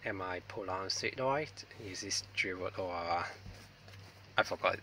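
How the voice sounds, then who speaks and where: A man's voice: deep voice, a little slow, pushed and bright. A man with a Hong Kong accent, unboxing a product in a casual vlog, hesitant and apologetic with many ums, recorded on a mobile phone. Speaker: vlogger. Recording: distant phone mic with faint noise.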